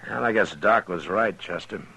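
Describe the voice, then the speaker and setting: An actor playing a calm, determined U.S. Marshal in a radio western, speaking in an unhurried, understated, firm manner. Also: male voice, low-pitched, creaky, breathy edge, exaggerated voice, poor audio. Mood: grave. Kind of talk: 1950s radio western acting.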